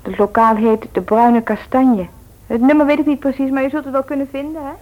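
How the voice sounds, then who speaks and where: An actress playing a caring, frank young medical student in a radio drama, earnest and slightly hesitant. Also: female voice, sits high, fairly even intonation, brisk, natural voice. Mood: brisk, helpful. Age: young adult. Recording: thin, phone-like old radio recording.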